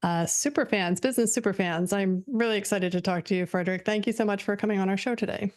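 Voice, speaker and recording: A woman's voice: medium pitch, fast, darkish tone. A woman hosting an interview podcast in a casual, conversational and slightly rambling way. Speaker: podcast host, nurse entrepreneur.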